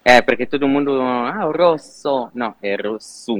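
A man from Italy with an Italian accent, a guest in a relaxed interview, chatty and laughing often, with halting non-native phrasing. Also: mid-range voice, dry recording. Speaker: guest, Instagram cooking creator.

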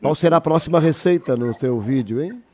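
A man's voice: fairly high pitch, fairly quick, dark tone. A man hosting an interview, asking friendly questions and prompting with short affirmations. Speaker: interviewer, host.

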